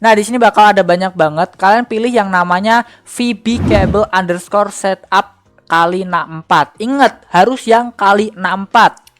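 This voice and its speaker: A woman with an Indonesian accent hosting a casual software tutorial, talking to viewers in informal, slangy language. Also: mid-range voice, normal speed, faint music behind. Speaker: tutorial host.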